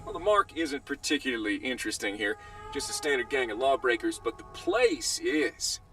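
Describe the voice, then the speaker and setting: A man's voice: medium pitch, moderate pace. A man playing a genial frontier lawman in a western video game, with a folksy, encouraging manner, over music. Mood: businesslike. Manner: animated, encouraging, colloquial. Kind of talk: video game cutscene acting. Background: background music.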